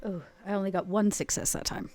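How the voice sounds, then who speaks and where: A woman's voice: mid-range voice, steady tone, brisk, a little mellow. A woman playing a tabletop roleplaying game, casually describing her character's actions and thinking aloud with some hesitation. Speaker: player in a tabletop roleplaying podcast.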